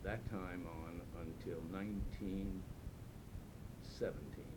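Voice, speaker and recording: An older man with a low voice, born in Ukraine, recalls his early years at an unhurried pace, pausing often as he searches for details. The tape is noisy, with a steady rumble underneath. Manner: slow, reflective recollection with pauses. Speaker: oral history interviewee. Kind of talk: oral history interview.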